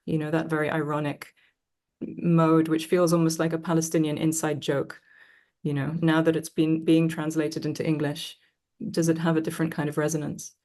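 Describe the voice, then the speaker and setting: Woman hosting a panel talk, really low voice, pretty flat, thoughtful and halting. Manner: reflective, halting, searching for words. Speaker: panel moderator. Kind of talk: thoughtful live panel discussion.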